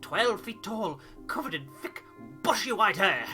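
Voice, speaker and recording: A man voicing an excitable, panicky crewman in a game, stammering and exclaiming breathlessly. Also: high voice, a little slow, soft background music, pitched above the performer's own voice, exaggerated voice. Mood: frantic, insistent. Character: excitable, panicky male crewman convinced he saw a monster.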